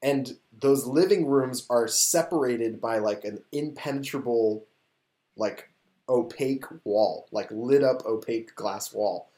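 A man in casual chat, retelling a show in an animated, rambling, excitable way. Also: medium pitch, measured pace. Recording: echoey room.

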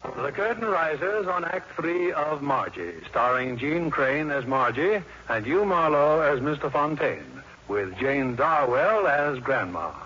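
A man's voice: fairly high pitch, big pitch swings, slow pace, bright, forceful tone, a little hiss or hum. A man hosting a 1950s radio drama, delivering scripted narration in a polished, formal manner. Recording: muffled old 1950s radio recording.

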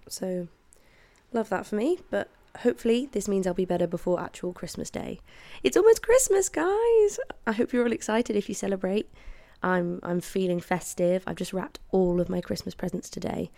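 A woman in her twenties with a hoarse voice from a cold, chatting casually and apologetically as she hosts her podcast from her flat. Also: medium pitch. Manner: chatty and apologetic, with frequent laughs. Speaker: podcast host. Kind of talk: casual solo chat. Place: flat at home, late at night. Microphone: headphones and podcast mic.

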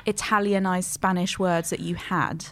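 A woman's voice: fairly low pitch, little pitch movement, a little slow, a bit bright. A woman in casual conversation, asking questions and commenting in a teasing, engaged way.

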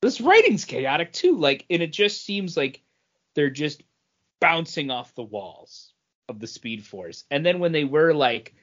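A man's voice: a little high, sing-song, measured pace, fairly bright tone, muffled recording. A man co-hosting a comic book podcast in casual, opinionated chat, blunt and given to swearing and acting out quotes.

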